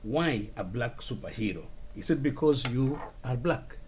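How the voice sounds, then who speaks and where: A male interviewer asks formal questions with a halting delivery and keeps restarting his sentences. His voice is mid-pitched. Faint background noise sits under the recording. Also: expressive, a little mellow.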